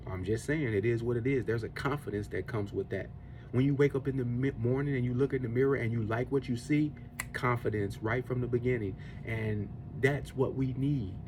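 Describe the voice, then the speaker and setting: A man's voice: mid-range voice, a little fast, background noise. Earnest man preaching self-control. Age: 40s. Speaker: podcast host. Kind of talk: faith-based motivational monologue.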